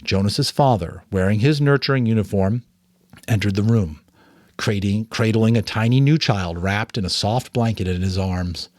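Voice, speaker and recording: A man narrating a novel aloud as a dramatic, expressive reading. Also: fairly low pitch, normal speed, dry recording, clean studio sound. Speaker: audiobook narrator and voice actor. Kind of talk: dramatic story reading with asides.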